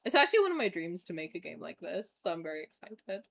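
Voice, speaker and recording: A woman's voice: on the low side, big pitch swings, fast, gravelly, a bit bright. A woman in her twenties streaming a game, chatting casually and animatedly with viewers, sometimes swearing and reading game text aloud. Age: 20s. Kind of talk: casual live-stream gameplay chat.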